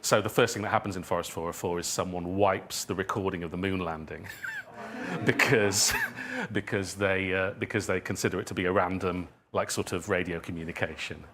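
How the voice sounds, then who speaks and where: Deep voiced guy at a live q&a, halting and circling his phrases, bit of room noise behind. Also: steady tone, a bit bright. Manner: halting, circling and repeating phrases, chuckles at own remarks. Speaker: speaker, writer of audio drama. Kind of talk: conversational public talk answering audience questions. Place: indoor public event venue.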